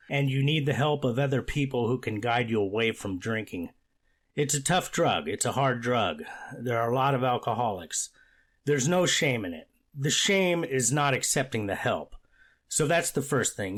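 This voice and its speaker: A man playing a stern but paternal judge, speaking formally and deliberately in a court transcript reenactment. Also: mid-range voice, natural voice. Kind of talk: amateur readers theater court transcript reenactment.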